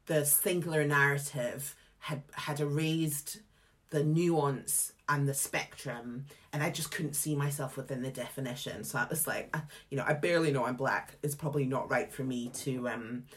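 A Scottish woman in her thirties with a low voice tells her career story to interviewers. She is frank and lively, full of casual asides and quick restarts. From Scotland. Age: 30s. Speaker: guest, theatre artistic director. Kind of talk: candid conversational storytelling.

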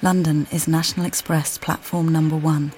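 An actress playing a weary, displaced mother who calmly guides the listener in a walking play, speaking plainly and directly to them. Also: sits low, moderate pace, slight background noise, natural voice. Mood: reflective. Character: displaced mother, weary and uncertain, far from home. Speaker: audio guide narrator. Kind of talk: immersive walking-play narration.

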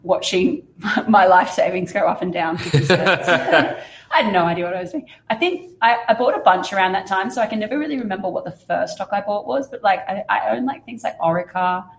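A young adult Australian woman with a croaky, hoarse voice, chatting as an interview guest in a self-deprecating way and laughing often. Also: medium pitch, a little fast, roomy sound, slight background noise. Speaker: fund manager guest. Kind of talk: casual interview chat.

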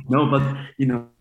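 A man's voice: medium pitch, phone-quality sound. Swiss-raised man of forty, echoey room. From Switzerland. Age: 40s. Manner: rambling, many fillers and restarts. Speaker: DJ guest. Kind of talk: casual interview chat.

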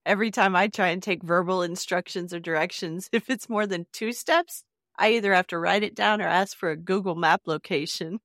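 A woman's voice: fairly low pitch, brisk, a bit bright, no room sound, clean studio sound. A woman co-hosting a podcast with casual, playful banter. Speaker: podcast co-host.

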